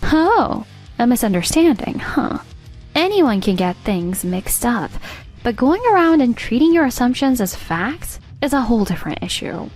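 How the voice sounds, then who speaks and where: Manga dub role: firm, pointed office leader woman performer, fairly high voice, composed authority, soft music behind. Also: very animated, moderate pace. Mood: cool, lecturing. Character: composed, firm female team leader defending her husband. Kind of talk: scripted manga dub acting.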